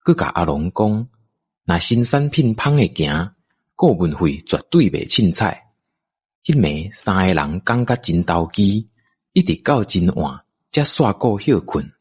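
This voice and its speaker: A man's voice: sits low, big pitch swings, unhurried, a little mellow, breathy edge. A man with a Taiwanese accent reading scripted narration for an audio drama.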